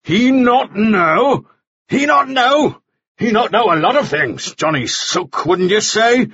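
Furious, bullying mining boss for an audiobook, acted by a man; snarling, sarcastic, husky, wide-ranging delivery. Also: fairly high pitch, very animated, unhurried, fairly bright tone, no room sound, lo-fi sound, voice pushed up, full character voice. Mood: angry, snarling. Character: furious, bullying gold-rush magnate, menacing man with pistol. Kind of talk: audiobook character voice.

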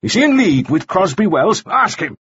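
Furious, bullying mining boss for an audiobook, acted by a man; snarling, sarcastic, husky, wide-ranging delivery. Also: a little high, very animated, measured pace, fairly bright tone, dry recording, dull audio, pitched above the performer's own voice, exaggerated voice. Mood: furious, desperate. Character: furious, bullying gold-rush magnate, menacing man with pistol. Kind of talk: audiobook character voice.

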